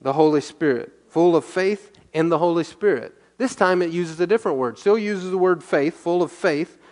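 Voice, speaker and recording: A man teaching a sermon verse by verse as pastor, reading scripture aloud and explaining it in a relaxed, conversational way, asking and answering his own questions. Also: fairly high pitch, moderate pace.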